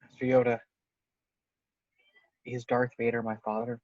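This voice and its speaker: A man's voice: mid-range voice, monotone, unhurried, darkish tone, no room sound, lightly acted. A man playing an earnest, troubled young Jedi apprentice in an amateur script read over a video call. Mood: anxious, earnest. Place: home room, on a video call. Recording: dull, distant video-call mic.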